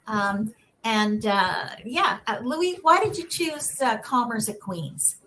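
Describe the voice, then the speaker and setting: A woman's voice: on the high side, animated, takes it slowly, slight background noise, rough recording. Woman moderating a video-call panel. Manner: warm encouraging interviewer, frequent affirmations.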